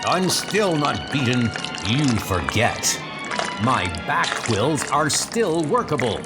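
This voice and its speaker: A man's voice: mid-range voice, big pitch swings, slow, loud music behind the voice. A man voicing an embittered, menacing male villain in an armored suit, gloating theatrically in a comic dub. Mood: defiant.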